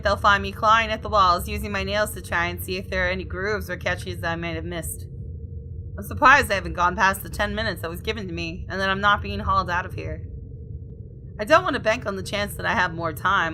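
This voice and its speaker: A woman's voice: medium pitch, sharp tone, slight background noise, rough recording. A woman gaming YouTuber reading a visual novel aloud expressively and adding casual commentary.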